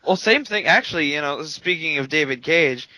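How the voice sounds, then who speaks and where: Bright-voiced man chatting about games. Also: fairly high pitch, expressive, normal speed, no room sound, low-quality recording. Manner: opinionated, talks at length with frequent restarts. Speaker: podcast co-host. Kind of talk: casual gaming chat.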